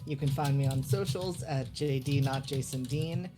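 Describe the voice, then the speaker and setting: A player introducing and describing their character in a deliberate, detailed way. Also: medium pitch, music in the background.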